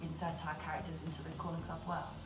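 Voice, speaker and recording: A woman's voice: low voice, level tone, quick pace. A woman actor explaining a creative process in a group testimonial. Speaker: actor in workshops. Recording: roomy, distant mic, music behind.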